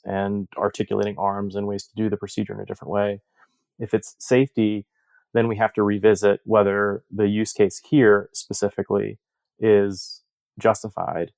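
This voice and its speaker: A man speaking as a surgeon and researcher in an interview, explaining in a measured way and posing rhetorical questions. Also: on the low side, gravelly, darkish tone, no echo. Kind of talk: interview discussion of surgical research.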